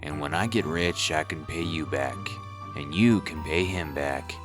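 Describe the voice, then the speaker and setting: A man playing a cocky, smooth-talking schemer in a comic dub, glib and wheedling. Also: extremely low pitch, a little slow, music in the background. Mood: coaxing.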